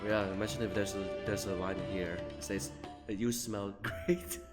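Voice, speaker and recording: A man giving casual, rambling live commentary on a video game, blunt and sometimes profane, with halting delivery. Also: on the low side, fairly even intonation. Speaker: game streamer. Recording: reverberant room, game music behind. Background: game music.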